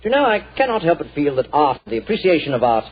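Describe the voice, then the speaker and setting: An actor playing a vain, effusive middle-aged stage actor in a 1940s radio drama, gushing and theatrical. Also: male voice, fairly high pitch, sing-song, fast talker, slightly rough. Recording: dull old radio recording.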